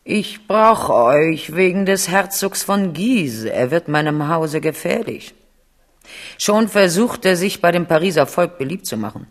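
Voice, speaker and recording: An actor playing a scheming French king in a German radio drama, speaking in a deliberate, commanding and emphatic way. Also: on the high side, expressive. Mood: urgent, calculating. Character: scheming French king confiding in a young prince.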